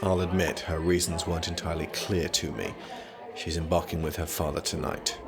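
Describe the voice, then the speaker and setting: An actor playing an aloof, sardonic young prince and magician in an audio drama, speaking formally, dryly and guardedly. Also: male voice, low-pitched, level tone, a little fast, vocal fry, a crowd in the background. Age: young adult. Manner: formal, dry, guarded speech.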